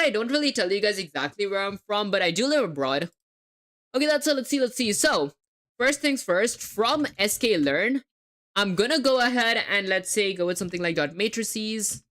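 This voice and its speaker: A woman's voice: medium pitch, fairly bright tone. A young woman with a hard-to-place hybrid accent, live coding and thinking aloud, spelling words letter by letter and chatting with viewers. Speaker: livestreaming coder.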